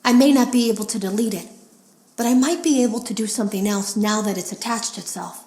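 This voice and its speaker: An actress playing an uneasy female game tester, confiding in a measured, serious way in scripted audio logs for a horror game. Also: mid-range voice, a little mellow, reverberant, lightly acted. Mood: grave, resolute.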